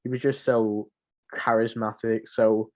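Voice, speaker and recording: A man's voice: fairly low pitch, little pitch movement, measured pace. A young man co-hosting a podcast in casual, rambling, informal chat. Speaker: podcast co-host. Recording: muffled lo-fi home recording.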